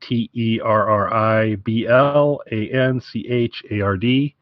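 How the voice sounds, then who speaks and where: A man's voice: lowish voice, fairly even intonation, slow, no room sound, dull audio. A man co-hosting a podcast, chatting casually in a rambling, wry way. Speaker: podcast co-host.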